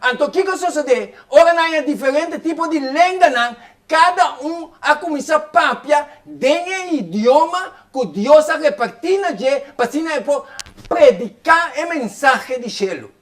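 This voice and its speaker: A man's voice: very high-pitched, animated, normal speed, fairly bright tone. A man preacher delivering a fervent sermon, repeating phrases as he builds his point.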